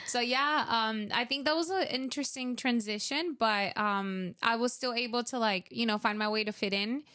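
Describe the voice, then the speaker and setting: Young woman, smooth clear voice, dry close sound, chatty with lots of fillers. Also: on the high side, moderate pace, sharp tone, dry recording. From Venezuela. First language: Spanish. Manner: chatty, many fillers, acts out her own past thoughts. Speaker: guest. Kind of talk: casual interview storytelling.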